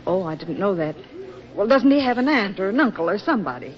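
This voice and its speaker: A woman's voice: medium pitch, fast, natural voice. Actress as a motherly, lilting 1940s radio confidante. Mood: sympathetic. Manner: gentle, expressive, consoling. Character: motherly, gently consoling older female friend. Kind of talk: 1940s radio drama acting. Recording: muffled vintage radio recording with music.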